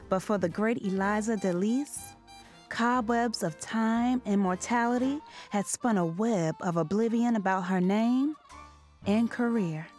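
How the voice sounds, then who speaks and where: A distinguished theater scholar, voiced by a woman narrating a radio ghost story in a measured, solemn manner. She speaks slowly at a mid pitch, with soft music under her. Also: close to an everyday voice. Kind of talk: scripted narration.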